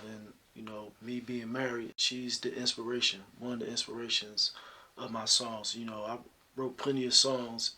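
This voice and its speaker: Husky deep-voiced man, relaxed. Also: rough recording. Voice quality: deep talking voice. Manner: relaxed, reflective, many "you know" fillers. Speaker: singer guest. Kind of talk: interview answers.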